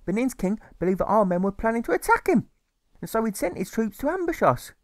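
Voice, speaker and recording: A fretful, doting old mother played by a man nags in a high, swooping voice. She is theatrical and insistent, repeating herself as an audiobook character in a dry, close recording. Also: sing-song, fairly quick, no room sound. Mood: dramatic, reminiscing. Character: fretful, doting, nagging working-class old English mother.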